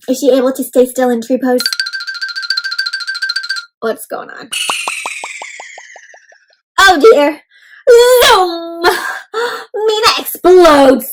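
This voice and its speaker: A woman reading a picture book aloud to children, expressive and full of questions, exclamations and little sound effects. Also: high-pitched. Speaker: children's read-aloud storyteller.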